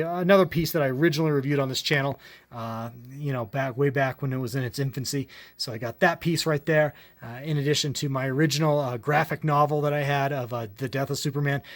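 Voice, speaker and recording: A middle-aged man hosting a toy collecting channel, rambling through a casual room tour with lots of filler and restarts, his voice going hoarse. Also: medium pitch. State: losing his voice.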